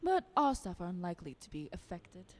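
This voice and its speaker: A woman's voice: fairly low pitch, big pitch swings, brisk. A woman playing a snobbish, art-loving society vampire in an audio drama, with an affected, languid and dismissive manner. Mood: breezy, dismissive.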